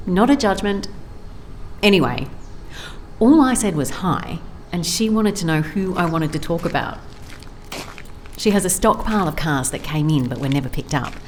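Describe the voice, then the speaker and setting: A woman's voice: on the low side, animated, moderate pace, a little hiss or hum, natural voice. A woman playing a wry, observant private investigator in an audio drama, with a dry, conversational manner. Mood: dry, musing. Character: wry, observant female private investigator narrating her case. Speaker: lead actor in an audio drama. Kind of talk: audio drama case notes and dialogue.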